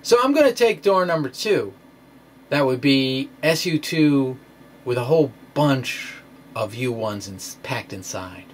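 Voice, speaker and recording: A man's voice: mid-range voice, lively intonation, a little slow, a little hiss or hum. A man giving an informal science explainer in a folksy, conversational way with theatrical emphasis. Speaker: science blogger presenting own work. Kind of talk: informal explanatory lecture, dramatic reading of blog post.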